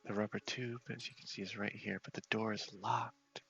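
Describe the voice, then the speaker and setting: A man's voice: fairly low pitch, flat delivery, moderate pace, creaky, fairly bright tone, husky, lo-fi sound, faint music behind. A man giving casual ASMR gameplay commentary, often whispering as he thinks aloud. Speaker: game streamer.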